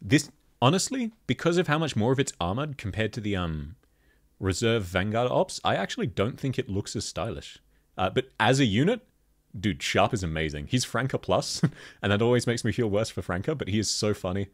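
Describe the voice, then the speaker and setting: A man with a thick Australian accent, chatting casually as he reviews game outfits and laughs often. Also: brisk. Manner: chatty, laughs often, lively pitch jumps. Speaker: gaming video host. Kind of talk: casual commentary.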